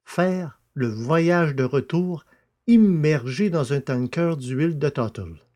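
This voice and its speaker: A man hosting a podcast and reading a novel aloud in a measured, deliberate way. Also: a little high, warm tone. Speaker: podcast host and narrator. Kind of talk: scripted audiobook narration.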